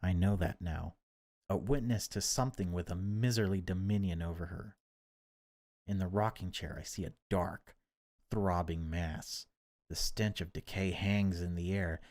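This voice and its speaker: A man reading horror game text aloud as dramatic narration, in deliberate, broken phrases. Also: sits low, steady tone, a little mellow, dry recording. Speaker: gaming video creator reading a visual novel aloud.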